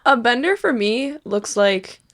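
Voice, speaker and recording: Hoarse young woman telling stories. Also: fairly high pitch, unhurried. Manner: animated, acts out quotes. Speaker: co-host. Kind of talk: casual podcast storytelling.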